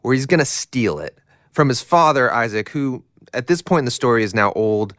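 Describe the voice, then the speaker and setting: A man's voice: mid-range voice, sing-song, normal speed, fairly bright tone, no room sound, studio quality. A man co-narrating an educational explainer in a plain-spoken, casual storytelling style.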